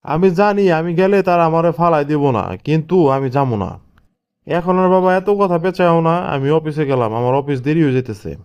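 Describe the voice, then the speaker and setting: A man's voice: on the high side, fairly quick, darkish tone, clean tone, lo-fi sound, natural voice. A man voicing an exasperated young husband in a cartoon family comedy, scolding and questioning in a Bangladeshi Bengali accent. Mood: scolding, fed up.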